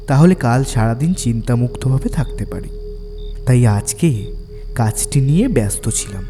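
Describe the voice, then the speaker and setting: A man narrating a scripted first-person horror story in a suspenseful, dramatic way. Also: medium pitch. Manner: suspenseful, expressive storytelling delivery. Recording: long reverb, faint music bed.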